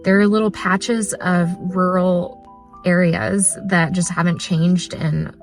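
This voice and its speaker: Hoarse chatty woman vlogging. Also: on the low side, flat delivery, slow. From Texas, USA. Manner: chatty and upbeat, with many "like" and "uh" fillers. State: hoarse after losing her voice to a cold. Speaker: vlogger, maker. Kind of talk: casual vlog chat. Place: inside her car, then out at estate sales. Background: music playing underneath.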